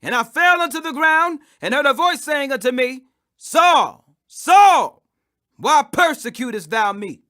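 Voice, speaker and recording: A man delivers a dramatic scripture reading in a very high register. His tone is bright and forceful, and he declaims in a preacher-like way, drawing out the stressed phrases for emphasis. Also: lively intonation, measured pace. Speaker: scripture reader.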